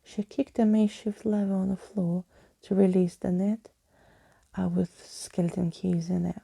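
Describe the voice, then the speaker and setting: A woman softly narrating a storybook in an ASMR style, close to the microphone. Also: mid-range voice, flat delivery, normal speed, creaky, husky, no room sound. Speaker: ASMR reader.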